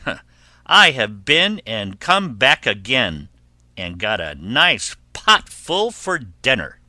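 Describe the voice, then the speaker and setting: A man's voice: mid-range voice, very animated, takes it slowly, pushed and bright, dry recording, full character voice. A man voicing a small, plucky, polite little pig in a children's fairy tale, with an emphatic, childlike delivery. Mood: cheeky.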